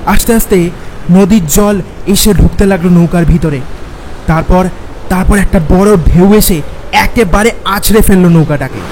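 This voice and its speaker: High-voiced man narrating a horror story. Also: darkish tone, slight background noise, rough recording. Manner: suspenseful, with dramatic pauses and repeated words. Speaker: first-person narrator of horror stories. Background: background music.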